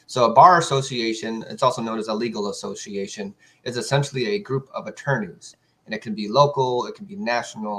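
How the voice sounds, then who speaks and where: A man moderating a virtual judicial candidate forum, asking questions in a polite, measured way with some fillers. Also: fairly low pitch, fairly bright tone, rough recording. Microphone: video call.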